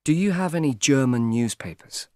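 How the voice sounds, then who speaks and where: A polite customer buying a paper, played by a woman. Her voice is very low, her requests short. The recording is dry and close. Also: expressive, moderate pace, dark tone, no echo.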